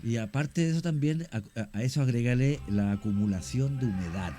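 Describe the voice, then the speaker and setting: Mellow-voiced Chilean man explaining at length. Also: medium pitch, a little fast. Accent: Chilean Spanish. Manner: long explanations, frequent fillers and restarts. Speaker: guest. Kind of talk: explanatory radio talk.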